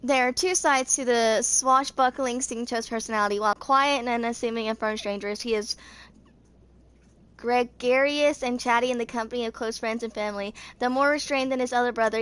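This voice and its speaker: A woman's voice: on the high side, fairly even intonation, fairly quick, fairly bright tone, smooth. A woman in her twenties reading game character lore aloud as an amateur creator, stumbling over words and rereading them. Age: 20s. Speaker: amateur gaming fan video creator.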